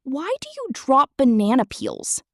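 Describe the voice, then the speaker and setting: Woman voicing a high, firm young girl. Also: huge pitch swings, a little slow, a bit bright, no echo, clean studio sound, full character voice. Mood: questioning. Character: young girl, playful, helpful, speaks up firmly. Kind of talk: children's storybook character voice.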